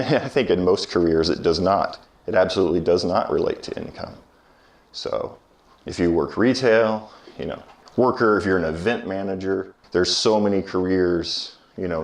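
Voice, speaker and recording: Deep-voiced man musing on history. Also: gravelly. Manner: measured and reflective, with many pauses and restarts. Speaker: history teacher. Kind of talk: solo talk to camera.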